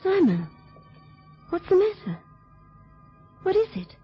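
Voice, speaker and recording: A woman's voice: extremely high pitch, huge pitch swings, slow, faint music behind, heavily acted. An actress playing an anxious, devoted woman in love, with emotional pleading in an old radio drama. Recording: dull, lo-fi old radio recording.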